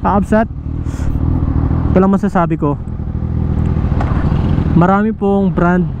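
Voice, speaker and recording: A man's voice: sits high, fairly even intonation, a little slow, highs cut off, heavy background noise. A man vlogging by his motorcycle on the road, chatting casually with viewers and mixing in English. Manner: chatty, calls viewers "kaabsat", mixes English in. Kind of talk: casual vlog with street prank. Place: roadside by a motorcycle.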